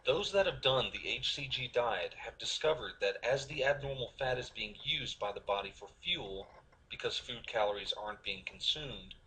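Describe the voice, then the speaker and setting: A man reading a scripted promotional explainer in a measured, persuasive, instructional manner. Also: mid-range voice, lively intonation, sharp tone, a little hiss or hum, low-quality recording. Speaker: narrator.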